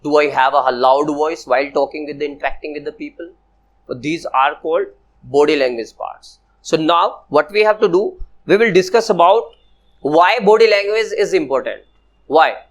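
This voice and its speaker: 男性教师，印度英语口音，在教室里讲课，声音偏高. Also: male voice, a little high. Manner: slow, repetitive, often asks "Clear?". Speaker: personality development teacher. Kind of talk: classroom lecture to students.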